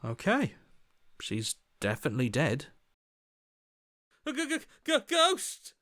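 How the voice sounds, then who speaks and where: A man voicing an earnest, anxious young hero in a video game, with a hesitant, questioning, expressive delivery. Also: on the high side, slow pace, no room sound, studio quality, heavily acted. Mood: startled. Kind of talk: video game character acting.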